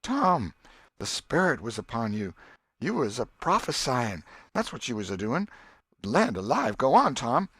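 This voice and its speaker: Guy doing an old southern country auntie, pitched up, folksy n exclaiming, scolds and fusses, storybook style, dry sound. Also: sing-song, unhurried, fairly bright tone, slightly rough, no room sound, exaggerated voice. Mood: amazed, eager. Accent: rural American South. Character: excitable, pious, scolding old country aunt, gullible and affectionate. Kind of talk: audiobook character voice.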